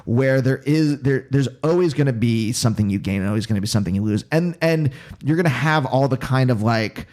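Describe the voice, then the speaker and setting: A man with a Pennsylvania accent touched by New York, hosting a casual podcast conversation and thinking aloud in halting, self-interrupting phrases. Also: mid-range voice, expressive, darkish tone. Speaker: podcast host.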